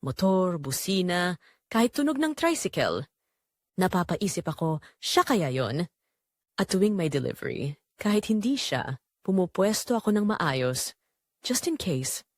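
A woman narrating a scripted first-person story in a confessional, dramatic way with deliberate phrasing. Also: on the low side, sing-song, no room sound, clean studio sound.

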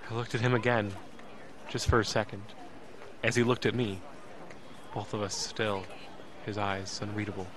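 An actor playing an earnest, emotional young man, narrating an audio drama in the first person with deliberate, dramatic pauses. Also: fairly low pitch, level tone, background noise, natural voice. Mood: tense, dramatic. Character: earnest, emotional young man telling his escape story.

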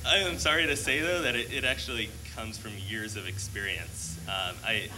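Best man, halting and self-deprecating, heard from afar over room noise. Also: mid-range voice, recorded from a distance. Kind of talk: wedding toast. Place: wedding reception hall. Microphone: handheld mic. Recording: noisy room, mic from afar.

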